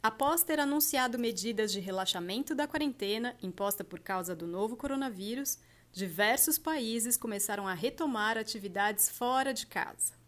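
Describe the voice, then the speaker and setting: A woman podcast host and journalist reading a news roundup and interviewing a guest in a steady, clear presenter delivery. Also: on the high side, normal speed.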